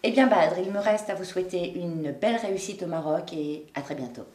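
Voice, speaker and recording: A woman presenting a business news bulletin in a clear, measured read delivery and interviewing guests. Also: on the low side, a little mellow. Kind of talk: scripted news narration.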